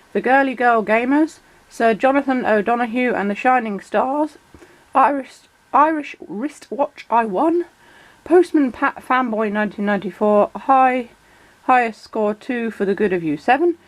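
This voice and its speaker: A woman with a croaky voice and a sore throat from a cold, chatting casually as she explains toy features in a vlog. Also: a little high, poor audio. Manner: chatty, many fillers, reads out shout-outs. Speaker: toy collector vlogger.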